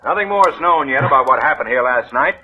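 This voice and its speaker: Man playing a sharp, probing young detective. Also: medium pitch, lively intonation, fast talker, slight background noise, close to an everyday voice. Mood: official. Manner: probing, coolly insinuating. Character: sharp alert young male police detective. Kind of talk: 1940s radio drama acting. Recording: dull old radio broadcast.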